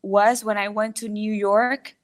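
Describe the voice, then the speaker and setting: Woman guest, measured speech. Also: medium pitch, level tone. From Dominican Republic. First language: Spanish. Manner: measured, polite, with fillers. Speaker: guest, construction business owner. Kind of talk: interview answers.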